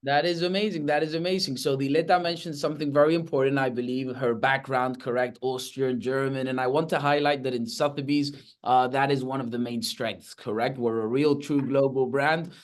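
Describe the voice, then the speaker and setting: A man's voice: mid-range voice, steady tone, a little fast, hardly any pauses. A man from Spain with a Spanish accent, hosting an interview casually with lots of fillers and restarts. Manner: enthusiastic, many fillers and restarts. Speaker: podcast host, real estate agent. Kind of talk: casual video-call interview.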